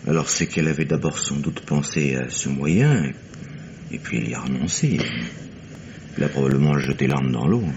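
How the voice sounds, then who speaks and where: An actor playing a formal, regretful police inspector in the style of 1950s French radio drama, polite and measured. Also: male voice, extremely low pitch, animated, darkish tone. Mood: probing, tactful. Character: courteous, regretful male police inspector breaking bad news. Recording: dull, noisy old radio recording.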